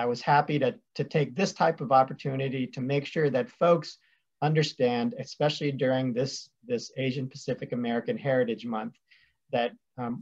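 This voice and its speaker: A man in his thirties from West Virginia, an attorney speaking in a friendly, thoughtful way on a video call. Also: mid-range voice. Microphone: video call webcam. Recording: dull lo-fi webcam audio.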